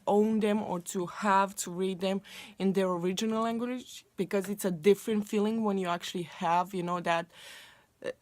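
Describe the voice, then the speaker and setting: A woman's voice: mid-range voice, dry recording. Chatty young Romanian woman vlogging. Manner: chatty, rambling, many fillers and restarts. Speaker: book vlogger. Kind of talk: casual talk to camera.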